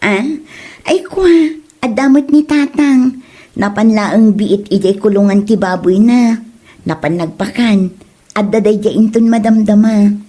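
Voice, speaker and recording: A woman's voice: medium pitch, measured pace, mellow, close to an everyday voice. A woman playing a young daughter who soothes and placates, in an Ilocano comedy drama, with an Ilocano accent. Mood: casual, welcoming. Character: young daughter, soothing and defending her suitor. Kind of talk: comedy audio drama acting.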